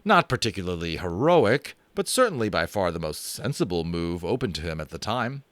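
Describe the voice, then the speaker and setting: A man narrating a children's fantasy book aloud in an expressive, dramatic style, with casual asides. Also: low-pitched, fairly quick, no room sound. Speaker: audiobook narrator.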